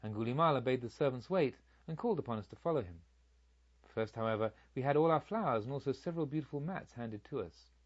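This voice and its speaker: A man reading a story aloud in a measured, formal, expressive storytelling style. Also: mid-range voice, dry recording, lo-fi sound. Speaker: audiobook narrator. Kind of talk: scripted dramatic storytelling narration.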